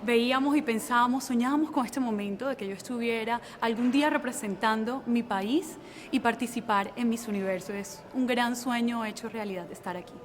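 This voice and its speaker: A woman's voice: fairly high pitch, quick pace, slight background noise. A young woman with a Colombian accent, giving articulate, earnest interview answers. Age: young adult. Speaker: beauty pageant contestant being interviewed.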